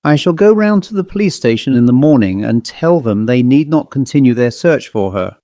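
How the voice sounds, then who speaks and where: A man voicing a perplexed, brisk upper-class gentleman in an audiobook story, speaking in an educated, musing way. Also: medium pitch, expressive, no echo, lightly acted. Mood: matter-of-fact. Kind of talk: audiobook character voice.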